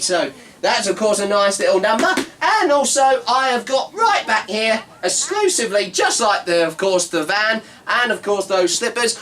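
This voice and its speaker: A man's voice: high-pitched, bright, forceful tone. A man playing a brash male Cockney market trader with pushy sales patter who keeps saying "of course". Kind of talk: comedy sketch character monologue.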